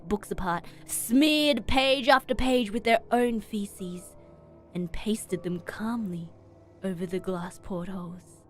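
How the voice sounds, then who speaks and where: A woman streamer reading a horror story aloud in expressive, dramatic narration with emphatic pauses. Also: medium pitch. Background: background music.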